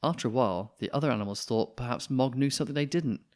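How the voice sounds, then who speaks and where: A man reading a children's picture book aloud in an expressive storytelling style. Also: medium pitch, fast talker.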